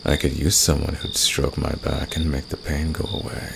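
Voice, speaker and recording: A man acts out a seductive fantasy villain in an intimate ASMR audio roleplay, with crickets and insects clearly audible behind him. His voice is extremely low and gravelly. He teases and flirts softly, with a caring streak underneath. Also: little pitch movement, moderate pace, heavily acted. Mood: teasing, flirtatious. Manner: teasing, seductive, soft-spoken. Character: flirtatious, teasing male fantasy villain, secretly caring rebel. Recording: noisy, with nighttime crickets and insects. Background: cricket and insect night ambience.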